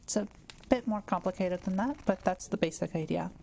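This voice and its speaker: A woman playing a kind, patient witch in an intimate audio roleplay, explaining things gently and carefully. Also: mid-range voice, brisk, vocal fry, a little hiss or hum, lightly acted. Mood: patient, explanatory. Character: kind, quiet-living adult witch, patient and reassuring.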